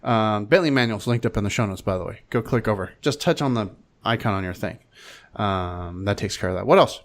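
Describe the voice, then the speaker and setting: A man's voice: on the low side, lively intonation, a little fast. Casual, rambling male podcast host. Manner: informal, rambling, wry. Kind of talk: casual podcast chat with sponsor plugs.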